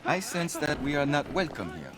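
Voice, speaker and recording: A man's voice: fairly high pitch, very animated, moderate pace. A male actor playing a witty, gallant French knight in a video game, with a French accent and courteous, wry manner. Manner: courteous, wry, formal wording.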